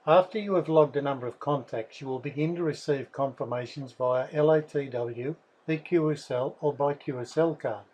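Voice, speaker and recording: Husky man narrating a tutorial. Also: medium pitch, moderate pace, hardly any pauses, darkish tone, poor audio. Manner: methodical, instructional. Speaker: software developer presenting a tutorial.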